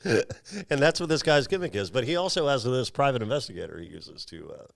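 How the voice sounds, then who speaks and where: A man's voice: medium pitch, very animated, a little fast, vocal fry. A man hosting a show, recapping a film in a casual, chatty style. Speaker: podcast host.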